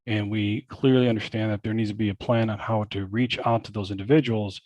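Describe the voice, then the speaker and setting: A man's voice: on the low side, fairly even intonation, fairly quick, darkish tone, no room sound. A man answering as a school board candidate in a panel Q&A, earnest and full of fillers and repeated phrases.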